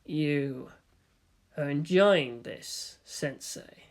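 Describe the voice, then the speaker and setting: A woman voicing a cold, menacing middle-aged male commander, slow and terse, in a story reading. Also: low voice, darkish tone, voice pushed down, exaggerated voice. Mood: dry accusation.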